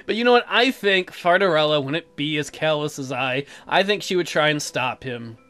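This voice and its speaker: An irreverent gamer reading a visual novel aloud with crude, animated joking commentary. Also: mid-range voice, normal speed, faint music behind. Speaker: let's player. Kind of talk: joking playthrough commentary and dramatic read-aloud.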